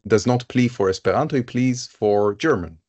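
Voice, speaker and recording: A man's voice: medium pitch, normal speed, darkish tone, muffled recording. A man moderating a live panel conversation, speaking in a thoughtful, searching way and often repeating words as he builds his questions.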